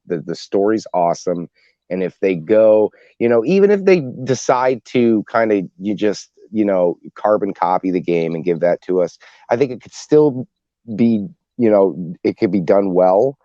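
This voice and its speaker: A man with a low, mellow voice talks casually as a podcast panelist, thinking out loud in a rambling way. The recording is dry and slightly muffled. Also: no echo. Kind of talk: casual group discussion.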